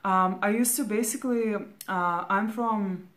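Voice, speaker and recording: A woman's voice: medium pitch, measured pace, fairly bright tone. A woman in her thirties, from Latvia, giving casual spiritual advice in a rambling monologue, ending nearly every phrase with "right?" or "okay?". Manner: rambling, with many fillers and restarts. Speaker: spiritual vlogger.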